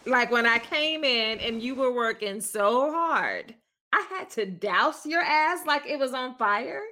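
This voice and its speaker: No-nonsense, sarcastic mother: scolding, forceful, fairly high voice, audio drama. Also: animated, unhurried.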